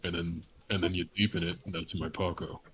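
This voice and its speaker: A man's voice: deep voice, level tone, fairly quick. A man chatting casually on a group podcast in a joking, rambling way. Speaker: podcast panelist, amateur voice actor. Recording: dull lo-fi mic with background noise.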